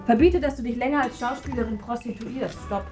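A woman's voice: a little high, brisk, lightly acted. An actress in a German radio drama playing a proud, headstrong woman of the theatre, clipped and theatrical. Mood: imperious. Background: music.

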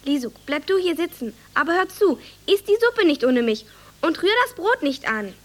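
A woman's voice: high voice, sing-song, moderate pace, a bit bright. An actress playing an earnest, bossy young village girl in a radio drama, speaking in an eager, childlike way. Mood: agitated. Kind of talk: German radio drama acting.

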